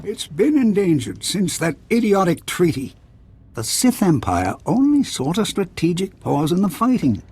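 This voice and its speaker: An actor playing an irascible, opinionated scientist in a video game, with a rough, blunt, scornful and emphatic delivery. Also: male voice, a little high, a little mellow, heavily acted. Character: irascible, opinionated male scientist, proud and jaded.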